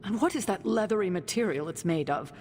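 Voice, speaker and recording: A woman's voice: animated, brisk, darkish tone, lightly acted. A woman voicing a blunt, haughty hero in a video game, speaking expressively. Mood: disgusted. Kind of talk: video game cutscene acting. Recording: reverberant hall, low rumbling noise.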